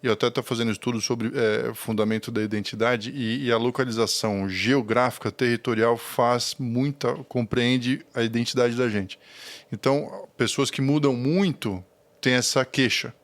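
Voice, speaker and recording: A man's voice: mid-range voice, fairly even intonation, moderate pace. Brazilian male podcast host chatting casually. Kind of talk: casual interview chat.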